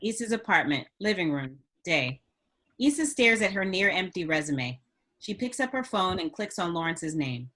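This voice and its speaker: A woman's voice: lowish voice, sing-song, normal speed, a bit bright. A woman narrating stage directions at a script table read.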